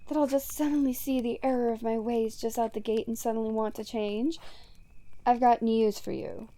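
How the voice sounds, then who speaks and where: Woman acting a smug, mellow-voiced female supervillain. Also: a little high, fairly even intonation, normal speed, lightly acted. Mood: skeptical, mocking. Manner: teasing, drawling, sardonic, casually profane. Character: smug, sarcastic female supervillain taunting a hero. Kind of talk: ASMR roleplay audio drama monologue.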